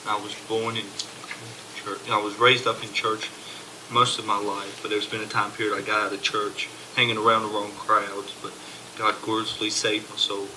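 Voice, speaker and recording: A man's voice: fairly low pitch, little pitch movement, normal speed, noisy background. A man from North Carolina, a Bible school freshman, telling his testimony plainly.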